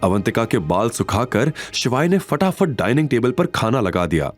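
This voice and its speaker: A man's voice: medium pitch, sing-song, moderate pace. A man narrating a scripted audio drama in a dramatic storytelling style. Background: background music.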